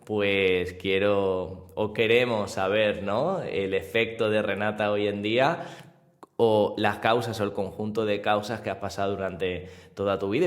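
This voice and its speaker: A man's voice: on the low side, in a large hall. Mallorcan Spanish man hosting. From Mallorca, Spain. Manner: casual, drawn-out, uses mild swearing. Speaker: podcast host. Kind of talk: conversational interview.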